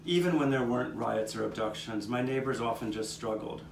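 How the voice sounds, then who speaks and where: A man playing an earnest storyteller from the 1800s, recalling hard times for his neighbors in a mid-pitched voice. He performs live and sounds roomy and set back from the microphone, with clear noise behind him. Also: a little fast, natural voice. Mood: earnest recollection. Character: 19th-century Philadelphia man recalling his neighbors' struggles. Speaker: narrator of a puppet show. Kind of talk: scripted first-person historical narration, storytelling. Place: outdoor courtyard, live performance. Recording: roomy, distant microphone, noticeable background noise.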